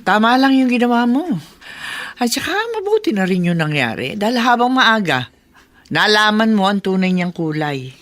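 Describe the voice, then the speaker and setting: A woman's voice: mid-range voice, sing-song, moderate pace, a bit bright, faint music behind. A woman playing a protective, frank elder confidant, giving advice in a radio drama. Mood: advising. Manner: frank, advising. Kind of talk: Filipino radio drama acting.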